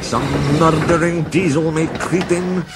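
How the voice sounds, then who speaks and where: A man's voice: fairly high pitch, steady tone, a little slow, heavy background noise, voice pushed up, close to an everyday voice. A man voicing an anxious male steam engine with a Scottish accent, fretful and protective. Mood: worried.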